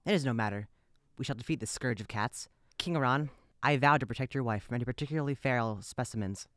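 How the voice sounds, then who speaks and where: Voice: medium pitch, fast talker, fairly bright tone, dry recording, clean studio sound, natural voice. An actor in an audio drama playing an earnest, loyal royal retainer with a formal, declamatory manner. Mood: gallant, resolute.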